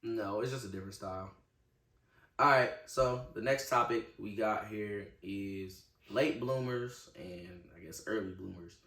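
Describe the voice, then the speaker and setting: Young male host, low, loud and gravelly; casual, recorded off-mic in a roomy space. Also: a little slow. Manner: loud, carrying voice. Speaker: podcast host. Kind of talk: casual chat. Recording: echoey room, distant mic.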